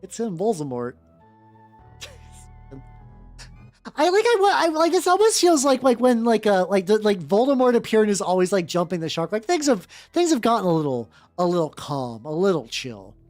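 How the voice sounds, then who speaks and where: Animated woman streamer, rambling over background music. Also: medium pitch, moderate pace. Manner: rambling, stammering, repeats words and breaks off to comment. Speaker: vtuber streamer host. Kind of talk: casual livestream chat mixed with reading fan fiction aloud.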